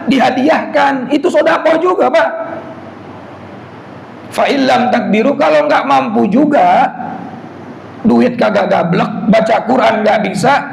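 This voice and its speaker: Hoarse, high, gravelly preacher. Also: male voice. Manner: joking, asks the audience "betul nggak?". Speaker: preacher giving a Quran commentary class. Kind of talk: casual religious lecture to a congregation. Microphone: speaker system. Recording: echoey hall with faint noise.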